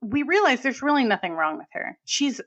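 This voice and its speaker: A woman with a New England accent and a somewhat high voice chats as a film podcast co-host. She retells scenes in a casual way, slipping into quoted dialogue. The recording is a little dull and lo-fi. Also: very animated, a little fast, fairly bright tone. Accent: New England American. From New England, United States. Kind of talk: casual film review chat.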